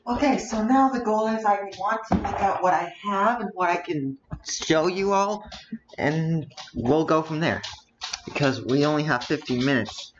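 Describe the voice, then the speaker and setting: Slow-talking streamer thinking aloud. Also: mid-range voice, lo-fi sound, music in the background. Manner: thinks aloud while browsing, muttering asides. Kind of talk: casual game stream chat.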